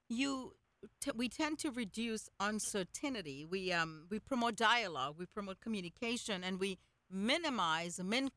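A woman's voice: medium pitch, sharp tone, dry recording. A woman hosting an interview, reflecting at length before she asks her guest questions. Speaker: host. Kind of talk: interview conversation.

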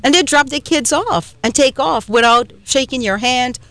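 A woman's voice: high voice, normal speed, fairly bright tone, no room sound. Chatty Trinidad-born woman radio host. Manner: chatty, emphatic, with frequent fillers. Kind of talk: talk radio chat. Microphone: studio microphone.

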